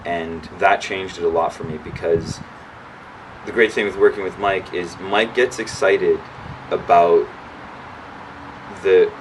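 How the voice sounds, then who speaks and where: A man's voice: low-pitched, a little slow, long pauses. A young adult man, an actor, chatting casually in an interview and telling stories with lots of restarts and fillers. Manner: lively storyteller, acts out his own past lines. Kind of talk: casual chat. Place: indoor sit-down interview. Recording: distant mic with clear background hum.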